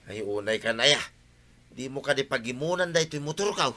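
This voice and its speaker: A brash village man played by an actor in an Ilocano comedy drama. He argues in an Ilocano accent with an extremely forceful, piercing tone at an average pitch. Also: animated, fairly quick, a little hiss or hum. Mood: protesting.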